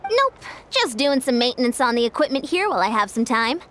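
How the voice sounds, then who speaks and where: A woman's voice: sits high, big pitch swings, a little fast, a bit bright, slight background noise. A woman voicing a confident, supportive young fitness coach in a video game, assured and instructive. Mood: relaxed. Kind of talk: English video game voice acting.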